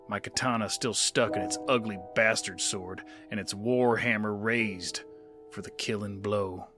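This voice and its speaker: A man with a lowish voice narrates a first-person audio drama at a slow, deliberate pace. He talks intensely, pushing up hard in the action and falling to a hush when things go quiet. A loud music score plays under him throughout.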